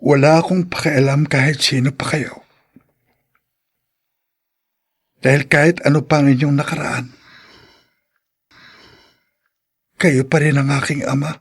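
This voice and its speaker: Young son, sentimental, husky, slightly high voice, drawn-out melodramatic radio acting. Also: male voice, steady tone. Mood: hurt, resolute. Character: sentimental young son longing for his father. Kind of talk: Filipino radio drama acting.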